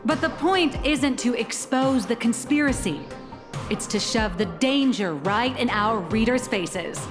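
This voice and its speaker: Brash, high-voiced newsroom boss. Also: female voice, animated, a little slow, long reverb tail, over music. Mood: bossy, instructive. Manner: bossy, theatrical, coaxing. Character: brash, confident female news editor-in-chief, scheming and upbeat. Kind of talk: video game character acting.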